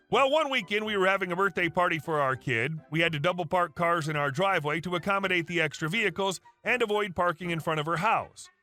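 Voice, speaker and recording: Male story narrator, high bright voice, wry and animated, faint music under. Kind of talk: scripted narration of online stories.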